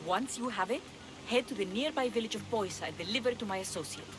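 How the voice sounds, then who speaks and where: An actress playing a sly, flippant pirate schemer in a video game, with a teasing, casual way of talking. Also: female voice, mid-range voice, expressive, fast talker, natural voice. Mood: eager, scheming. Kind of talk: video game cutscene dialogue acting. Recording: clear background ambience noise.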